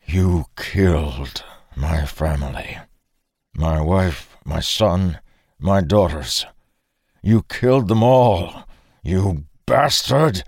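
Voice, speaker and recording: A vengeful rebel leader, played by a male narrator, snarls with venom and harsh bitterness. His voice rises above the teller's low register in a close, dry fantasy audiobook. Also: unhurried, a little mellow, dry recording. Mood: grieving fury.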